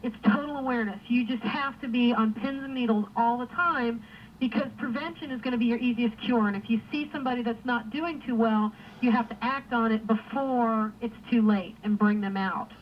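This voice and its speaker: A woman's voice: on the high side, a little fast, a little hiss or hum. A woman instructor speaking in an interview soundbite on a lo-fi radio recording. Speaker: lifeguard training instructor.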